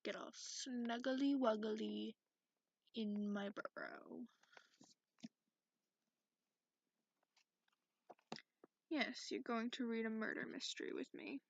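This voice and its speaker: A woman playing a sarcastic, cranky dragon in a soft-spoken ASMR bedtime reading, recorded close up in lo-fi. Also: fairly high pitch, steady tone, a little slow, no room sound. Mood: cosy, grudging. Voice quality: creaky. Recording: dull lo-fi close dry recording.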